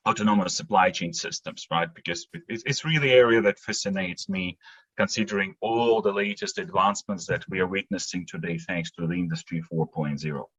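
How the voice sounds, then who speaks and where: A man's voice: on the low side, a bit bright, dull audio. A man, giving explanatory answers as a podcast guest. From Latvia. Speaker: podcast guest, industry consultant. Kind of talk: interview answers, explanatory talk.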